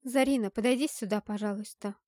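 A woman's voice: mid-range voice, fairly quick, dark tone, dry recording, clean studio sound, lightly acted. A woman voicing a stern, protective fantasy heroine, firm, emphatic and tense, in an audiobook story. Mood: urgent.

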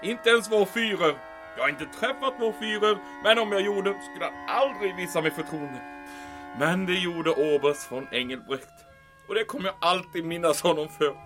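Man acting a high-pitched sentimental major. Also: music in the background, close to an everyday voice. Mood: sentimental, earnest. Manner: peppers Swedish with German words. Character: sentimental German army major in occupied Sweden. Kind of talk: comedic audio drama acting.

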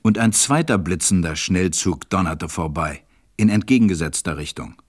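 A man playing a grave, weary stranded pilot who narrates a German radio play in a measured, husky, deep voice. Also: lively intonation. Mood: grave, reflective.

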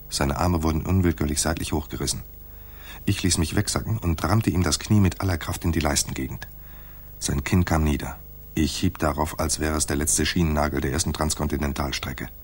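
Husky, low-voiced private detective. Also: male voice. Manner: dry, understated. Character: wry, tough, laconic male private detective narrator. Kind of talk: hard-boiled radio play narration and dialogue.

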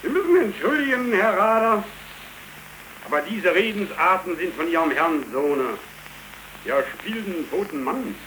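A man's voice: high-pitched, expressive, moderate pace, pushed and bright, a little hiss or hum, rough recording. An actor playing a cynical, hungry, scornful young man out of work, with mocking, declamatory delivery on an old 1930s German radio play recording.

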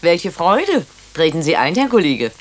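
A woman's voice: fairly low pitch, sing-song, measured pace. An actress playing a genteel, playful, middle-aged woman doctor with a fondness for liqueur in a German radio drama, speaking in an arch manner. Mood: gracious, playful. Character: genteel, coy, aging female doctor fond of liqueur.

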